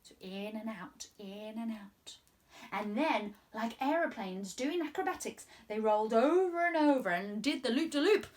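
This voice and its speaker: A woman in her twenties reading a story to children in an expressive, encouraging way. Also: a little high, normal speed. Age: 20s. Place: small room at home, indoors.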